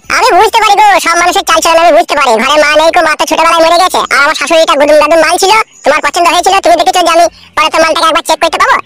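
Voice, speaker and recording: A woman's voice: extremely high pitch, very fast, few pauses, fairly bright tone. A woman voicing an aggrieved, foul-mouthed young cartoon son-in-law who argues and complains sarcastically. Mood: sulky accusing. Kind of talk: crude comedy cartoon dubbing.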